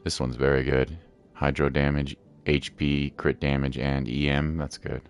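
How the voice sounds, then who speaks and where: Male game streamer: very low, gravelly fry, laid-back and casual, thinking aloud over faint music. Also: level tone, normal speed. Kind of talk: casual gameplay commentary.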